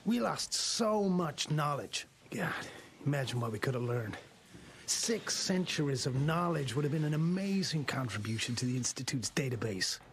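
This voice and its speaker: An earnest, bookish agent in a video game. His voice is fairly high and swings animatedly. Ambient noise is clear behind him. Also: lively intonation, normal speed. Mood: wistful. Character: earnest, bookish male agent lamenting lost knowledge. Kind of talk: video game cutscene acting.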